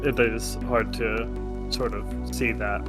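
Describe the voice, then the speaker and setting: A man's voice: on the low side, little pitch movement, measured pace, music in the background. Man casually running a tabletop game. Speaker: game master.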